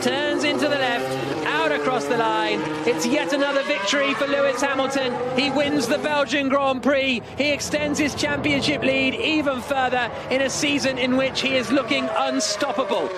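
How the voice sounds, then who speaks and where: A man's voice: very high-pitched, very noisy. A man giving live motor racing commentary as the lead commentator, calling the action fluently and emphatically.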